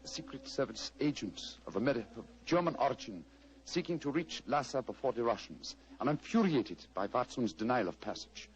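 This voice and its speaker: An actor playing a keen, courteous and resolute detective in a radio drama, with precise, formal speech. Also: male voice, medium pitch, lively intonation, normal speed, close to an everyday voice. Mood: keen, assured. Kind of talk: 1940s radio drama acting. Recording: muffled, hissy vintage radio recording.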